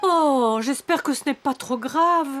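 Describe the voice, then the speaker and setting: High-voiced motherly cartoon skittle lady. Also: a little slow, a bit bright, a little hiss or hum. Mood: fretful. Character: motherly, fretful female bowling-pin toy, cartoon. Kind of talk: children's cartoon acting.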